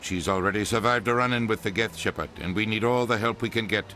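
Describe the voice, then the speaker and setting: A man's voice: lowish voice, fast, sharp tone, a little hiss or hum. A man playing a brusque, pragmatic political official in a video game, giving curt orders with authority. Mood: businesslike.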